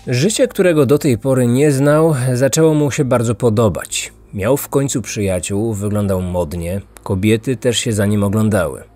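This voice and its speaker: A man narrating a scripted true-crime podcast in measured, suspenseful phrases. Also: mid-range voice, warm tone. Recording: dry studio voice over music. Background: background music.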